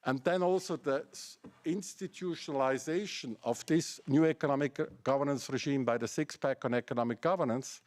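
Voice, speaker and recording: A middle-aged man with a German accent giving a seminar presentation, building his case through pointed rhetorical questions. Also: on the high side. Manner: argumentative, asks rhetorical questions. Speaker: academic speaker.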